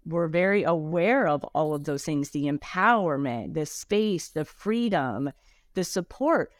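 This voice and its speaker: A woman's voice: deep voice, lively intonation, measured pace, no room sound, studio quality. Affirming female podcast host. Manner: affirming, frequent agreeing backchannels. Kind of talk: interview conversation.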